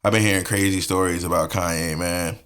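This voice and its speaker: A man's voice: sits low, fairly even intonation, brisk, a bit bright, clean tone. A man talking casually as a podcast guest, laid-back, with heavy slang and swearing. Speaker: podcast guest co-host, music producer and engineer.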